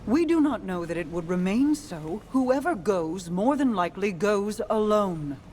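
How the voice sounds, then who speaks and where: A woman's voice: medium pitch, expressive, unhurried, a little mellow, slight background noise, lightly acted. A woman playing a regal, guarded queen and protective sister in a video game, speaking formally and solemnly. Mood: grave, reluctant. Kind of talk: scripted video game drama.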